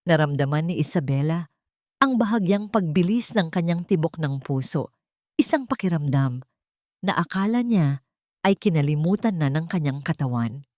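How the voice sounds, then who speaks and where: A woman with a Filipino accent narrating a sentimental story in a measured, emotive, scripted storytelling manner. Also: low-pitched, expressive, darkish tone, no echo, studio quality.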